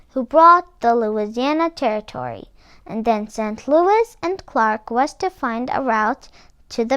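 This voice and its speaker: A woman reading a children's picture book aloud as a storyteller, phrasing deliberately and stressing key words. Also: sits high, a bit bright, dry recording. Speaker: read-aloud book club host.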